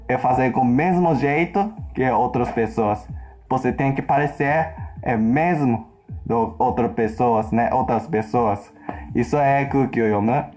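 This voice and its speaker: Japanese man, mid-pitched, slow halting learner's delivery, soft music underneath. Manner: halting learner's speech, searching for words. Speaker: interviewee in a played video. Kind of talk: casual personal account.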